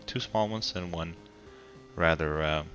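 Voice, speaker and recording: Deep, raspy man with a cold. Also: muffled recording, music in the background. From Colorado. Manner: relaxed, halting, frequent ums and restarts. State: getting over a cold. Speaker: game commentator. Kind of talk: casual gameplay commentary.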